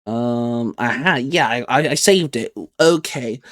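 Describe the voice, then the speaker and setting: A man's voice: medium pitch, slow pace, a bit bright. A man hosting casually, talking in an informal, hesitant, improvised way. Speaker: YouTube channel host. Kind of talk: casual, improvised skit and chat.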